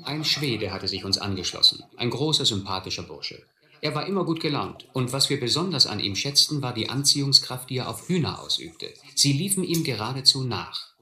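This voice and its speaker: An actor playing a reflective narrator who recalls his hobo youth in a radio play, measured and literary. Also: medium pitch, big pitch swings. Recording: roomy, clearly noisy radio play recording.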